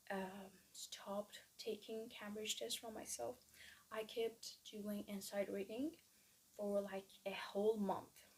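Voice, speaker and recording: Slow, hesitant woman. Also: medium pitch. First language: Persian. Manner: hesitant, many fillers and restarts. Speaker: exam-prep vlogger. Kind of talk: casual study-plan talk.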